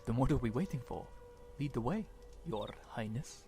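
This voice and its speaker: A man's voice: mid-range voice, animated, normal speed, mellow, faint music behind, natural voice. A man acting a playful young Egyptian companion to a prince in an audio drama.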